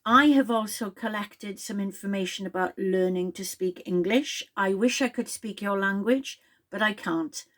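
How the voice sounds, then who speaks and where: A middle-aged Welsh woman, Welsh her first language, addresses a group straight to camera in English. She speaks slowly and deliberately, shaping each word clearly, at an ordinary mid pitch. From Wales. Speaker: project leader addressing participants.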